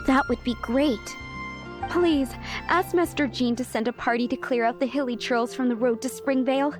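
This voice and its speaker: A woman's voice: sits high, moderate pace, music in the background, natural voice. A woman voicing a polite, worried shopkeeper. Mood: pleading. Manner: polite, pleading. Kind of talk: video game dialogue.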